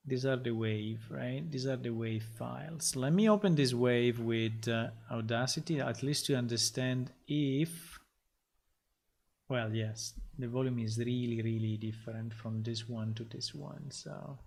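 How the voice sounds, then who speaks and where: Slow, halting man thinking aloud. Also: mid-range voice, gravelly, darkish tone. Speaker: game developer streaming his work. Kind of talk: casual live-stream talk while working.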